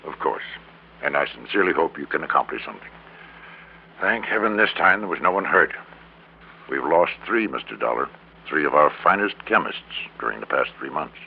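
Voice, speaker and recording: Grandiose, formal businessman, about sixty, vintage radio drama. Deep, gravelly delivery, tinny old recording. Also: big pitch swings, normal speed, slight background noise, heavily acted. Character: slight, gray-haired, self-important businessman of about sixty. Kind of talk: classic American radio drama acting.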